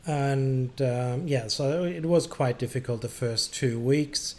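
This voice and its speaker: A man's voice: mid-range voice, slow pace, darkish tone. A man hosting a gaming livestream, chatting casually and hesitantly between air traffic controller calls. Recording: clearly noisy background.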